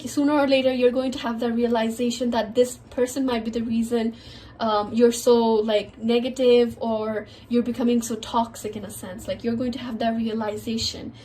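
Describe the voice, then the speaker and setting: High-voiced nasal woman, a bit sick. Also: darkish tone, a little hiss or hum. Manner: chatty, heavy on filler words. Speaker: tarot reader. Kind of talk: casual tarot reading to camera.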